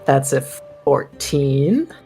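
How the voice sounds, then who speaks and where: A woman's voice: very deep voice, sing-song, very slow, mellow, soft background music. A woman playing a tabletop role-playing game, casually describing actions and speaking in character. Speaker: player.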